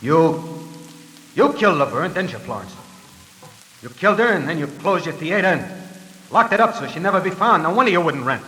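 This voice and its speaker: A man playing a nervous, talkative tie salesman who narrates his own story in a 1940s radio drama, moving between hushed and frantic. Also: on the high side, expressive, a little fast, pauses often, breathy edge, heavily acted, poor audio. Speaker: radio actor narrating in character.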